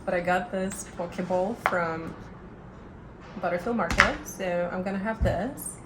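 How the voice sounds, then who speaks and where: A woman in her thirties chatting casually in a vlog at her table at home, rambling and self-deprecating, her voice slightly raspy from talking too much. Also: on the low side, a little slow, very noisy. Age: 30s. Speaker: vlogger.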